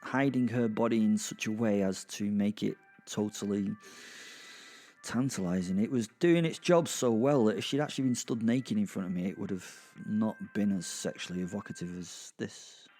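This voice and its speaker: A British man narrating a darkly comic audio drama in the first person, with wry, conversational, halting asides. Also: fairly low pitch, mellow, soft background music.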